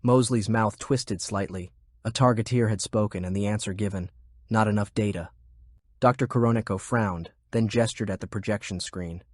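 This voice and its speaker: Low-voiced man narrating an audiobook. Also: moderate pace, dry recording, studio quality. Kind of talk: scripted narration of a fantasy war novel.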